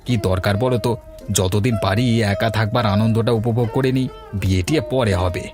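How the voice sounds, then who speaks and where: A man's voice: fairly low pitch, moderate pace, natural voice. A man voicing an easygoing village character in a cartoon fairy tale, talking in a colloquial, chatty way. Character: village men: elder headman, easygoing bachelor neighbour. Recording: music under the voice.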